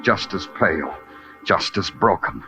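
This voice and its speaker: A man's voice: low-pitched, expressive, a little slow. A man playing a wry, world-weary police detective in a 1950s radio noir, with clipped, dry, poetic phrasing. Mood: tense narrating. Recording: dull vintage radio recording with music.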